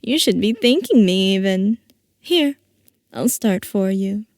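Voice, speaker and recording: A woman's voice: mid-range voice, lively intonation, slow, a little mellow, no echo, clean studio sound. A woman playing a playful, theatrical mad-scientist villain boss in a roleplay audio, coy and mock-innocent as she teases. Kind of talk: scripted roleplay audio, ASMR-style character acting.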